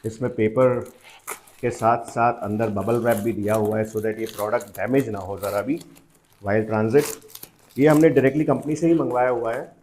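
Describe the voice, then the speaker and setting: An Indian-accented man hosts a casual product unboxing in a mellow, relaxed voice. He takes his time and improvises as he goes, slipping between two languages within a sentence. His pitch sits in an ordinary male middle range. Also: a little hiss or hum, poor audio. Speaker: host of a product unboxing channel. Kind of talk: casual unboxing and first-impressions commentary, improvised.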